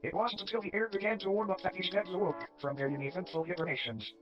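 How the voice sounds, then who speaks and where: A man reading scripted horror documentary narration in a measured, matter-of-fact way with dry dark humour. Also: high-pitched, highs cut off. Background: clear background music.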